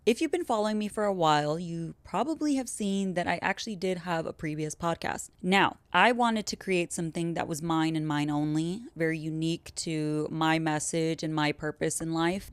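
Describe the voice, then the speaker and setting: Young female podcast host, talkative and upbeat, slightly low smooth voice. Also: no room sound. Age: 20s. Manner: chatty, upbeat, self-described yapper. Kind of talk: casual solo podcast intro.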